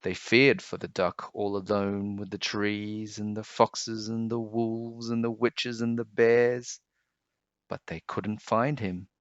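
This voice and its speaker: A man reading a children's storybook aloud in an expressive, dramatic way. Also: lowish voice, slow, no room sound, highs cut off.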